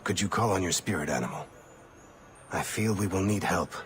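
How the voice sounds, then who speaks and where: A very deep-voiced solemn warrior. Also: male voice, moderate pace, a bit bright, a little hiss or hum. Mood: grave, focused. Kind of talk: video game cutscene acting.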